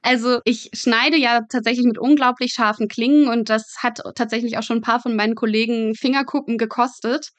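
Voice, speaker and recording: A woman's voice: on the high side, fairly even intonation, fairly quick, hardly any pauses, dry recording. A young woman, a guest telling stories in a casual, chatty and emphatic interview style. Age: young adult. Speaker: podcast guest, medical student working in pathology.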